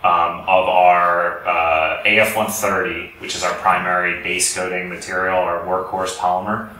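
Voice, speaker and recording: A man's voice: low voice, level tone, measured pace, sharp tone. A man giving an informal technical lecture, explaining things and often correcting himself as he goes. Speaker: company executive giving a product lecture. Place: indoor lecture room with audience. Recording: very echoey room, distant mic, faint noise.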